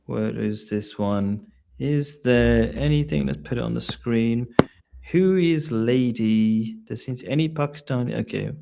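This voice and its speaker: A man's voice: medium pitch, creaky, darkish tone. A man from England hosting a live online discussion, asking questions and often restarting his sentences. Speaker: host.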